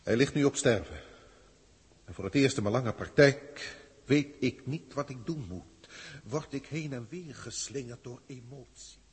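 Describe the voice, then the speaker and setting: Husky, echoing old alien mentor. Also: male voice, medium pitch, measured pace. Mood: troubled, remorseful. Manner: theatrical, formal archaic diction, brooding. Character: old emotional alien senior proctor, male teacher, wry. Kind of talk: 1960s radio drama acting. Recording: reverberant 1960s radio studio recording.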